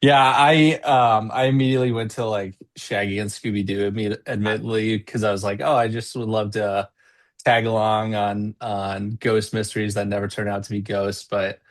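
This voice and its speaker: Chatty low-voiced webinar host man. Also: steady tone, moderate pace. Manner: chatty, upbeat, many fillers. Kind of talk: casual webinar hosting.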